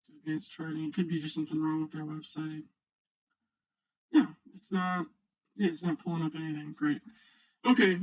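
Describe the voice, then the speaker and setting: A man speaking through a voice changer, giving casual, halting, unscripted screen-share commentary as a video host. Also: fairly high pitch, no room sound, low-quality recording. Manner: halting, rambling, unscripted delivery. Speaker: video host, new finance YouTuber.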